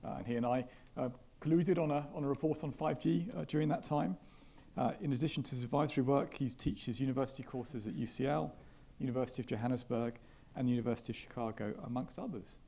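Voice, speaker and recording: A man moderating a conference panel, speaking in a measured way with frequent fillers and restarts. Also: medium pitch, gravelly, dark tone. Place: conference hall with live audience.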